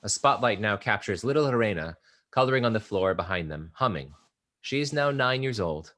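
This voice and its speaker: Low-voiced man reading stage directions. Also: expressive, brisk, a bit bright. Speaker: stage directions reader at a play table read. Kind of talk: scripted narration.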